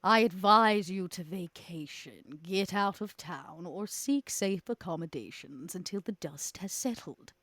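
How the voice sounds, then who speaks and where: A woman playing an elegant, superior vampire schemer in a tabletop game, with a measured, pompous, theatrical manner. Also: on the low side, lively intonation, fairly bright tone, no echo. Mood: haughty, menacing.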